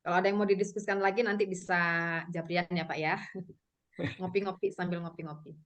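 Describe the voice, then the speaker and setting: A woman's voice: on the low side, steady tone, fairly quick. A woman moderating an online book discussion, handing over turns in brief, friendly remarks.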